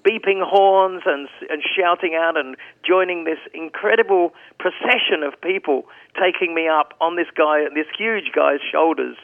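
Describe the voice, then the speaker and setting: A man's voice: a little high, normal speed, creaky, hardly any pauses, no room sound. A man in his seventies, a singer chatting as an interview guest, rambling through anecdotes with restarts. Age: 70s. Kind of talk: casual interview chat. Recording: phone-line audio.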